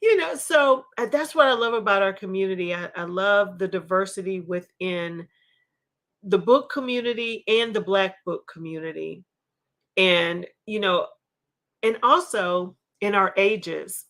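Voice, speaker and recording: Woman, fifties, medium pitch, panel host, casual chat, enthusiastic, talkative. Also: measured pace, a bit bright. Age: 50s. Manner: enthusiastic, chatty. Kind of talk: casual book community chat.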